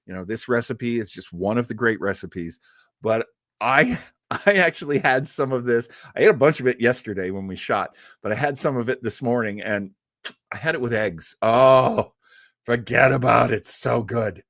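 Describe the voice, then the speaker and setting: A man's voice: medium pitch, moderate pace, fairly bright tone, dry recording, studio quality. A man hosting a podcast, wrapping up the episode in casual, rambling chat with self-deprecating asides. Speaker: podcast host.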